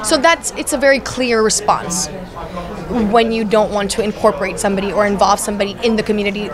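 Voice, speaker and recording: A woman's voice: mid-range voice, fast talker. A young woman from Miami, an actress being interviewed at an event, talking earnestly with many restarts into a handheld mic. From Miami, USA. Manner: earnest, frequent restarts and fillers. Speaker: actress guest. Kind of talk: casual interview chat. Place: event backdrop, crowded venue. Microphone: handheld mic held by interviewer. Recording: crowd chatter behind.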